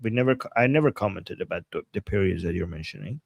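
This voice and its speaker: A man from Iran co-hosting a casual livestream, reading viewer messages with frequent chuckles and halting restarts. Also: deep voice, expressive, gravelly, slightly rough, no echo. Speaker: co-host reading viewer chats.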